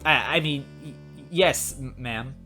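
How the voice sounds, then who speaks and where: A man voicing an excitable young female cop who stammers and gushes in an amateur story reading. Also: medium pitch, slow pace, faint music behind, pitched above the performer's own voice. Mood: flustered, nervous. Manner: stammering when flustered, gushing when excited. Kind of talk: amateur story read-aloud.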